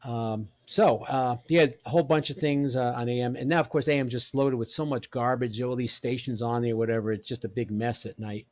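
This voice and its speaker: A man's voice: mid-range voice, steady tone, a little fast, hardly any pauses, dry recording. An older man from New York City giving a casual talk in a chatty, colloquial storytelling manner. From New York City, United States. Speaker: presenter, radio hobbyist.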